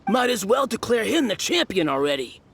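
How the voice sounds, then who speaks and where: Animated tournament bystander. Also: female voice, mid-range voice, brisk, slight background noise. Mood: impressed. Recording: clear game music underneath.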